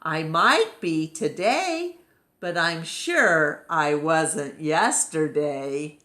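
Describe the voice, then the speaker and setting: A woman voicing excitable, easily puzzled elephants from a children's story, with exaggerated questions and exclamations. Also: low-pitched, extremely slow, full character voice.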